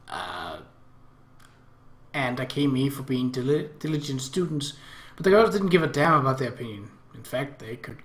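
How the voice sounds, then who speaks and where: A man's voice: medium pitch, echoey room. A man reading visual novel narration aloud as a let's play host.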